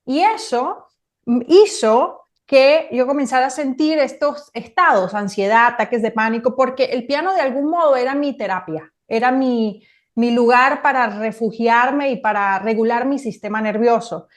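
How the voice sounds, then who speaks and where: Earnest Venezuelan woman, slightly high voice. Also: normal speed. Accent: Venezuelan Spanish. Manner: earnest, expressive, with many "wow"s. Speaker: guest, mentor and coach. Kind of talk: interview storytelling.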